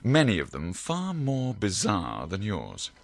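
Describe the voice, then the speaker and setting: An actor playing a learned, probing psychiatrist in an audio drama, speaking in a deliberate, formal, professorial way. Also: male voice, on the low side, huge pitch swings, gravelly, slight background noise, full character voice. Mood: measured, lecturing.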